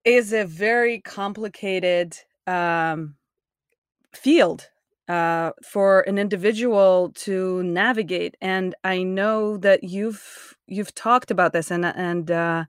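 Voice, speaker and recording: A woman's voice: medium pitch, takes it slowly, clean tone, no room sound, studio quality. A woman hosting an interview, speaking thoughtfully and hesitantly as she searches for words. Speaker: interviewer, host. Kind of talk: casual conversational interview.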